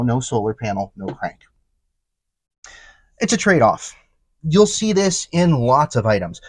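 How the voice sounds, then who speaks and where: Animated man reviewing products, dry close sound. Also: a little high, dry recording. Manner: emphatic, repeats key words for stress. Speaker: product reviewer, channel host.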